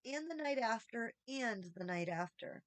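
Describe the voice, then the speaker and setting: A woman voicing an excited young boy who hollers exuberantly in a storybook reading. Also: fairly high pitch, a little slow, no echo. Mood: excited, calling out. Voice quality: clear, ringing.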